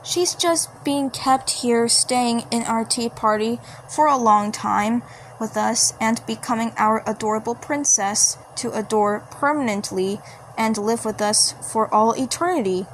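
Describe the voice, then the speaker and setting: A woman plays a sinister little mushroom man from a horror cartoon fandub. He speaks slowly, soothing and smug, repeating himself with quiet menace over faint background noise. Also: on the high side, voice pushed down, close to an everyday voice, low-quality recording. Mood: sinister reassurance. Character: smug sweet-toothed male mushroom creature, sinister tea party host.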